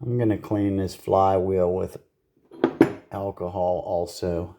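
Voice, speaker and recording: A man's voice: low-pitched, level tone, slow pace, background noise. A man giving casual, hands-on repair commentary in a blunt, salty way, swearing freely as he goes. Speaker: repair video host.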